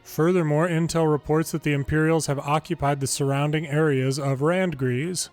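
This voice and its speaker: Male game narrator, slightly high voice, reads story text aloud with casual delivery, faint music underneath. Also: steady tone, normal speed, a little mellow. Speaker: game longplay narrator reading dialogue aloud. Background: video game music.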